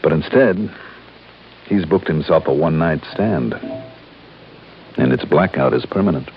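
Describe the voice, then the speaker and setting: An actor playing a terse, commanding insurance investigator who narrates an old-time radio detective drama. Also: male voice, very deep voice, animated, a little slow. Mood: firm, terse. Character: hard-boiled, businesslike male insurance investigator. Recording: dull old radio recording with music.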